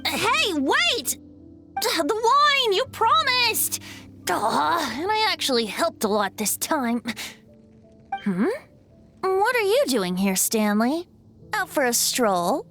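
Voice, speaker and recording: A woman's voice: very high voice, very animated, slow pace, pushed and bright, heavily acted. A woman voicing a playful young male bard who makes grand poetic speeches in a video game. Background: game music.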